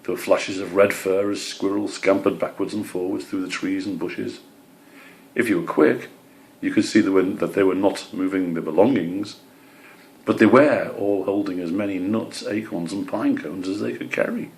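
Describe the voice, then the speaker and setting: A man's voice: deep voice, normal speed, gravelly, darkish tone, low-quality recording. A man narrating a children's storybook as its reader.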